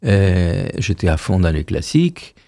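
Man, 60s, from Argentina, native Hebrew speaker, gravelly, dark tone, unhurried interview storytelling. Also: deep voice, very animated, no room sound, studio quality. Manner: unhurried storytelling, repeats and restarts phrases. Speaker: choir director, guest. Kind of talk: radio interview.

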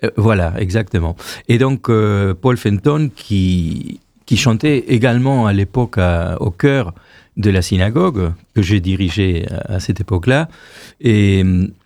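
Man, 60s, from Argentina, native Hebrew speaker, gravelly, dark tone, unhurried interview storytelling. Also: on the low side, lively intonation, no echo. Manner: unhurried storytelling, repeats and restarts phrases. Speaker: choir director, guest. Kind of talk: radio interview.

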